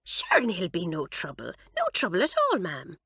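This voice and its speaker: A woman's voice: lowish voice, wildly swooping pitch, moderate pace, a bit bright, breathy edge, no room sound, studio quality, full character voice. A woman narrator voicing a cheerful, cheeky Irish schoolgirl with a lilting Irish accent.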